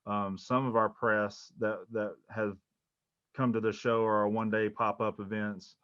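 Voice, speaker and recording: A man who organizes a convention, explaining his plans in a measured way. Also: lowish voice, flat delivery, a bit bright, dry recording, muffled recording. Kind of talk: casual video call chat.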